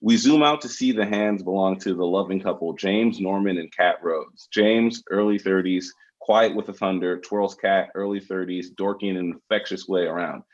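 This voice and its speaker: Very deep-voiced man reading stage directions. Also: normal speed, hardly any pauses, muffled recording. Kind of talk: scripted narration at a table read.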